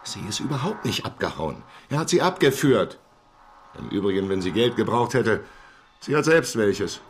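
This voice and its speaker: A man's voice: lowish voice, very animated, normal speed, slight background noise. An actor playing a naive, trusting and stubborn man who has been swindled, earnest and defensive, in a German radio crime drama.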